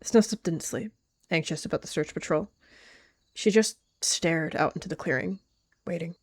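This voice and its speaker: A woman calmly narrating a roleplay story in a measured way. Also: lowish voice, breathy edge, no echo, clean studio sound.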